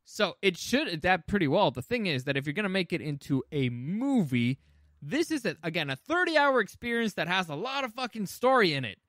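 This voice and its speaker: A man's voice: sits high, big pitch swings, brisk, fairly bright tone, no echo, studio quality. A man hosting a casual movie chat, opinionated and emphatic, swearing freely. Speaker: podcast host.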